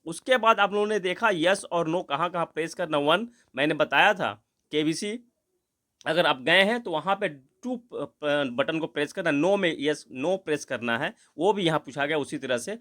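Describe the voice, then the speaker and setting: A man's voice: a little high, animated, normal speed, sharp tone. A man giving an informal, emphatic and repetitive explanation of instructions, mixing in English words. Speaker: channel host explaining instructions.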